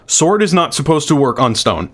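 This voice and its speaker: A man with a deep voice, chatting casually and thinking out loud while he streams a video game, swearing freely. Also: sing-song, normal speed, a little hiss or hum.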